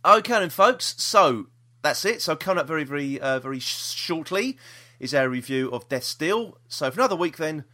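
A man's voice: on the high side, expressive, normal speed, few pauses, pushed and bright. A British man hosting a podcast in casual chat, hesitant and rambling, often repeating himself. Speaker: podcast host.

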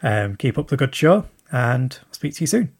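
A man with a husky, slightly breathy voice leaves a long recorded message for a show. He thinks aloud as he goes, circling back with plenty of ums. His voice sits at an ordinary middle pitch. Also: very animated, normal speed, darkish tone. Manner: rambling, thinking aloud with many fillers. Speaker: listener sending in a question.

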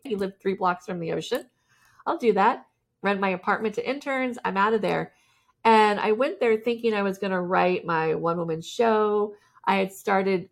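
A woman's voice: medium pitch, vocal fry. A woman guest candidly telling her life story in a casual interview, often laughing as she talks.

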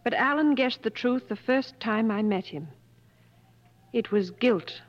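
A troubled posh wife, kinda high voice, very heartfelt 1950s radio acting, dull old recording. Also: female voice, unhurried. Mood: confessional, troubled. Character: troubled, refined English wife searching for lost husband.

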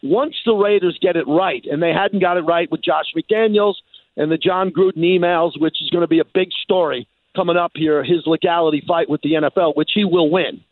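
A man with a notably high voice talks sports in an emphatic, animated way, hammering points home by repeating them. He is from New York and sounds like a radio guest. The sound is thin and narrow, like a phone line, with a dry, close quality. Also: brisk, no room sound. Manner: emphatic, repeats phrases for effect. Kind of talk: animated sports talk interview.

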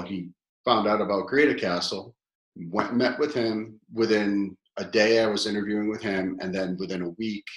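Man, fifties, very low voice, chatty storyteller full of fillers; echoey room. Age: 50s. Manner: animated, rambling storyteller with many fillers. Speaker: guest, toy company founder. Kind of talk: casual interview storytelling.

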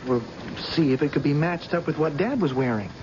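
A man's voice: on the high side, a little fast, close to an everyday voice. An actor playing a determined, eager young policeman, earnest and insistent, in a 1970s radio drama. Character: eager, determined junior policeman, the victim's son. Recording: muffled, noisy old radio recording.

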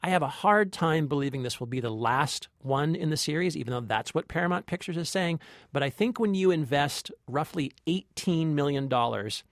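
A man's voice: fairly high pitch, animated, moderate pace, no echo. Enthusiastic man reviewing movies, dry studio sound. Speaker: film review podcast co-host. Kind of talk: casual movie review chat.

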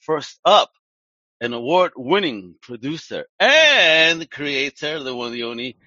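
A male podcast host who talks with a bright, forceful edge and slangy, excited energy, laughing between questions. The room sounds dry and close. The audio is a bit dull and lo-fi. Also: a little high, slow, no echo. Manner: hyped-up, slangy, laughs a lot. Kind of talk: casual interview chat.

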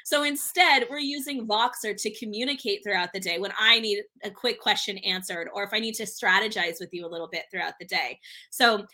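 A woman's voice: medium pitch, a little fast, bright, forceful tone. A woman speaking as a guest on a business podcast, talking casually in an upbeat way and repeating words as she explains. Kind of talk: casual interview chat.

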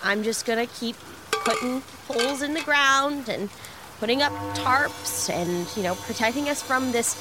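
A woman playing a self-sacrificing, passive-aggressive scout in an improvised sci-fi comedy, halting and nervously over-polite. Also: on the high side, heavy background noise, natural voice. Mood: strained politeness. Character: put-upon female scout, self-sacrificing, passive-aggressive, on a space crew.

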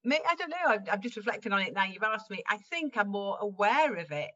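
A woman's voice: medium pitch, sing-song, fast, sharp tone, lo-fi sound. A woman from Wales chatting casually in an interview, laughing often and restarting her sentences as she talks. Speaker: guest improviser.

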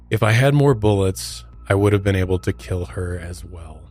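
A man's voice: low-pitched, darkish tone. A man hosting a podcast, moving between prepared narration and casual discussion. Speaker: true crime podcast host. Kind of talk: recounting a case, part scripted narration, part casual discussion.

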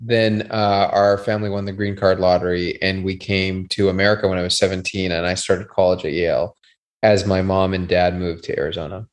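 A man in his thirties from Canada, chatting as a guest in a podcast interview. His voice sits a little low. He talks in a measured, unhurried way and hedges his points with qualifiers. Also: level tone. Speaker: guest, doctor and TV writer. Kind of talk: casual interview chat.

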